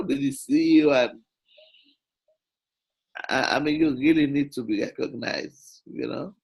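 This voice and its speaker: An older woman chatting warmly on a video call, encouraging and affirming, with frequent agreement. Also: low-pitched, gravelly, poor audio. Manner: encouraging, affirming, many backchannels. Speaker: Toastmasters region advisor. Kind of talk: casual video call chat.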